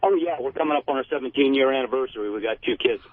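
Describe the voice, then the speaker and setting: A middle-aged man calling in to a radio show, confiding in a halting, matter-of-fact way. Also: medium pitch, crowd noise. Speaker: phone-in caller. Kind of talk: candid personal confession in casual chat. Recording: tinny phone line on radio.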